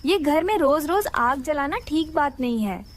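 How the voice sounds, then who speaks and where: A woman playing a gentle, practical mother bird in a cartoon story, polite and earnest. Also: high voice, expressive, moderate pace. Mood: concerned.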